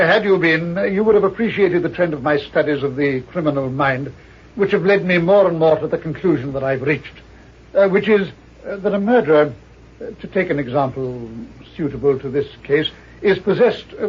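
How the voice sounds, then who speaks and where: An actor playing a shrewd, haughty doctor detective in an old-time radio mystery, speaking pedantically with hesitant pauses. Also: male voice, a little high, breathy edge. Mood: lecturing. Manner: pompous, pedantic, hesitant "uh" mid-sentence. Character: shrewd, haughty male doctor sleuth, coolly condescending. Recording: dull, muffled old radio recording.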